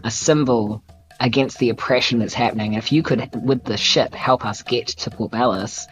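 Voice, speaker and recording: A woman's voice: extremely low pitch, steady tone, normal speed. Woman, New Zealand accent, casual teasing banter, tabletop roleplayer, faint music behind. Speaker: tabletop game player. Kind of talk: improvised in-character banter. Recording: light music under the voice.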